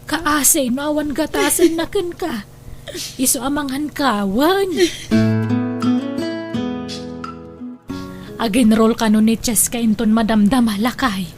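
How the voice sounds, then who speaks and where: A woman's voice: high voice, normal speed, music in the background, natural voice. A woman playing a caring, soothing female relative in an Ilocano radio drama. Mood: soothing, concerned.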